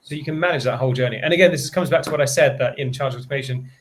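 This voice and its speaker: A man co-presenting a software webinar, emphatic and full of recommendations, often repeating words for stress. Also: medium pitch, very fast, slight background noise. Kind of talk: enthusiastic product webinar talk.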